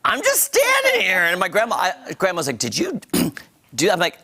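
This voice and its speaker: A man's voice: sits high, wildly swooping pitch, moderate pace, sharp tone. Guy morning show host, voice swoops up and down, telling stories casually. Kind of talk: casual chat, storytelling.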